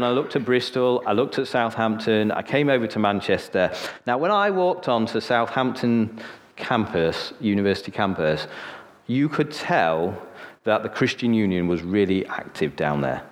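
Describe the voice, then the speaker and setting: A lowish-voiced man with a northern English accent tells his story from the pulpit, relaxed and conversational. He keeps tossing "okay?" out to the room. His voice rings with long reverb, as in a large hall. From Sheffield, northern England. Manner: chatty, storytelling, frequent "okay?" asides. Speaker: preacher. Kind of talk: sermon with personal testimony.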